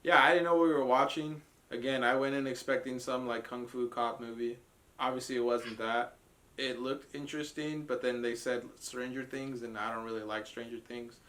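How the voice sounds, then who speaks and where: A man's voice: medium pitch, steady tone, normal speed, fairly bright tone. A man co-hosting a casual reaction podcast, blunt and profane, ranting with frequent laughs. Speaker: podcast co-host reviewing a trailer. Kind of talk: casual group reaction chat.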